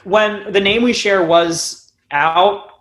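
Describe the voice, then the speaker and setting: A young man with a fairly high voice speaks as a musician guest in an interview. He talks informally and loosely, circling around his thoughts and swearing casually. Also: slow, a bit bright. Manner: rambling, informal, casually profane. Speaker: band frontman, podcast guest.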